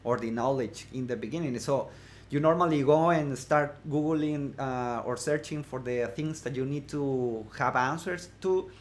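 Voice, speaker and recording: A man from Mexico with a Mexican Spanish accent, speaking as an interview guest in a casual chat, pausing often with fillers and restarts. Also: a little high. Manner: hesitant, many fillers and restarts. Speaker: guest, blogging course creator.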